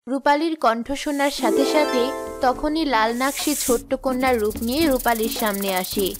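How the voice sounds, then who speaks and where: Female storyteller: higher-pitched voice, scripted tale narration, music bed throughout. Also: normal speed.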